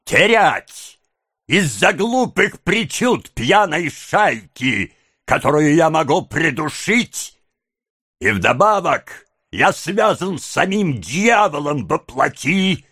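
Audiobook villain: male reader as crafty, vicious old crook, very drawn-out words, swooping pitch, close dry sound. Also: no echo, full character voice. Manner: slow, drawn-out, theatrical delivery. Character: scheming old male gang boss, wheedling, vicious when crossed. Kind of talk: dramatised audiobook character.

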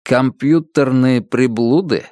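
A man voicing a crude, foul-mouthed male deep-sea posthuman in a slow, gruff drawl. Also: mellow, no room sound, lightly acted. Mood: rude mocking. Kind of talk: audiobook character voice.

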